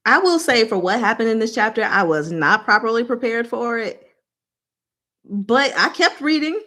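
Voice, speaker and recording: A woman's voice: fairly high pitch, lively intonation, normal speed, sharp tone. Playful woman in casual, chatty recap. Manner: playful, slangy, acts out characters' lines in casual speech. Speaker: host of a book discussion.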